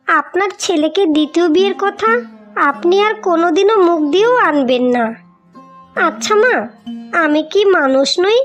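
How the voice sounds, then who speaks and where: A woman's voice: extremely high pitch, a bit bright. A woman voicing a meek young village daughter-in-law in a cartoon story, politely pleading. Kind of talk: Bengali cartoon moral story acting. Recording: music behind the voice.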